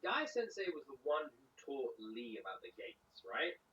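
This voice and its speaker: A man with a sore voice reacting excitedly on a casual stream, swearing and bursting into shouts. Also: medium pitch, moderate pace. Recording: distant muffled stream mic with faint ambience.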